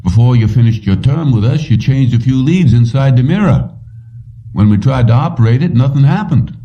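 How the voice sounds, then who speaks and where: A man's voice: mid-range voice, animated, moderate pace, pitched above the performer's own voice, natural voice. A man voicing an older male company boss in a story, strained and wary, on a dull recording. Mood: grudging. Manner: tense, pressed delivery. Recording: muffled, distant-sounding dull recording.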